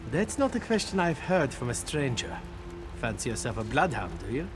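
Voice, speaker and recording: An actor playing a blunt, weary warrior turned town official in a fantasy video game, dry and officious. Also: male voice, mid-range voice, sing-song, normal speed, over music, close to an everyday voice. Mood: wry, businesslike.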